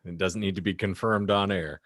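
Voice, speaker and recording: Male host, fairly low pitch, close dry recording, wordy mock-formal delivery, wry casual banter. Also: fairly even intonation, a little fast, fairly bright tone, no echo. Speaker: podcast host.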